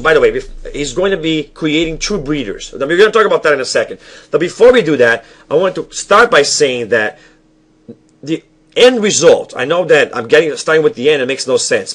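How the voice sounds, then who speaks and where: Young man teaching, halting and self-correcting. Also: medium pitch, a bit bright. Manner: halting, self-correcting explanations with frequent restarts; asks the viewer questions and checks in with "all right?". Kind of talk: recorded classroom lecture over slides. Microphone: webcam at desk.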